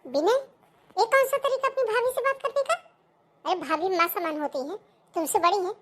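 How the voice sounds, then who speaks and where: Woman voices a bossy cartoon mother-in-law, loud scolding tone. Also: extremely high pitch, normal speed. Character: greedy domineering mother-in-law.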